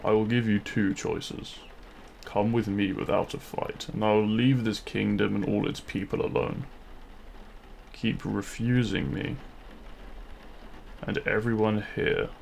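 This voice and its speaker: Male actor as demon king, heavy vocal fry, intimate romantic roleplay, deliberate delivery, faint background noise. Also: on the low side, darkish tone. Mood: cold, threatening. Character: feared demon king, menacing in public, tender lover in private. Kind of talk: romantic ASMR audio roleplay.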